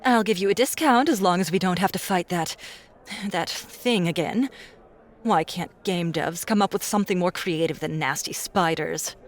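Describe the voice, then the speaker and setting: A man's voice: high-pitched, normal speed, pitched above the performer's own voice. A man voicing a greedy, pushy young woman fighter who haggles and makes demands, in an audiobook. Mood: impatient.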